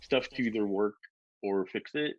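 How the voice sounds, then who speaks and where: A man's voice: on the low side, normal speed, lo-fi sound. A man in his forties, a guest drone pilot, chatting casually and rambling, swearing freely and laughing often. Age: 40s. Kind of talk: casual chat.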